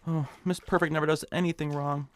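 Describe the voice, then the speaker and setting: A man's voice: a little high, level tone, fast talker, close to an everyday voice. A man in a comic audio drama playing a whiny, meek, hypochondriac office worker who speaks hesitantly. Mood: whiny, sulky.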